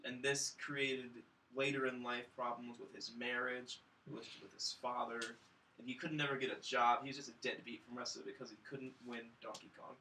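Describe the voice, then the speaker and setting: A man's voice: medium pitch, little pitch movement, fairly quick, sharp tone, slight background noise, rough recording. A man on a film review podcast, recapping casually with a sarcastic, mock-grandiose comic delivery. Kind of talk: casual comedic plot recap.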